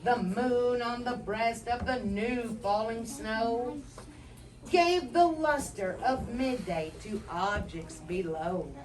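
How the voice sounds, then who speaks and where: A woman reading a story aloud to children in an expressive, dramatic way, adding simple explanatory asides. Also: on the high side, slow, far from the mic, crowd noise, rough recording.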